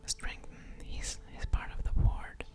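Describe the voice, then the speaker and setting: Female ASMR gamer: whispered, very leisurely game commentary, mulling over moves, audible mouth sounds. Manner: slow, musing aloud over each move.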